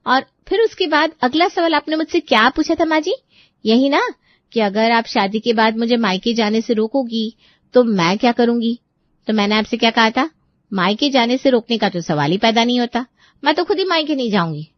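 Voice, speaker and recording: A woman's voice: on the high side, moderate pace, no room sound, lo-fi sound, close to an everyday voice. Woman playing chatty, clingy young daughter-in-law. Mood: teasing, pointed. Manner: talkative, sweetly insistent. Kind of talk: acted audio story dialogue.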